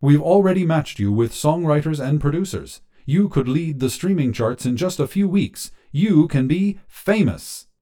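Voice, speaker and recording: A man voicing a pleasant but pushy male AI app in a story, excited and salesy. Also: on the high side, moderate pace, few pauses, voice pushed up, natural voice. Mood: excited, persuasive. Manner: excited, salesy, smooth-talking.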